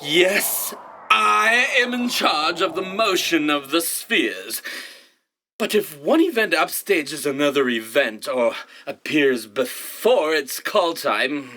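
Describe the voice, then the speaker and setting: A haughty theatrical director, declaims every order as if on stage. The delivery is slow and drawn out, with a bright, forceful edge and huge swings in pitch. It belongs to a comic radio play. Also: expressive, full character voice. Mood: haughty explaining. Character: imperious, melodramatic theatrical director of the celestial traffic department. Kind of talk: comic audio drama acting.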